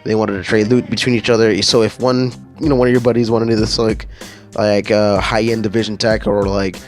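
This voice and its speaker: Rambling young-sounding male gaming vlogger. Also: medium pitch. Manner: rambling, lots of fillers and restarts. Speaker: gaming channel host. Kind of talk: casual patch-notes rundown.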